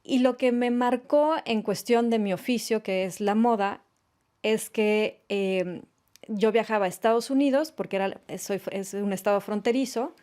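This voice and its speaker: A woman's voice: a little high, normal speed. A woman in her late forties with a Mexican accent, a fashion designer answering interview questions in a radio studio and telling stories in a conversational way. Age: late 40s. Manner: warm storytelling, frequent tag questions.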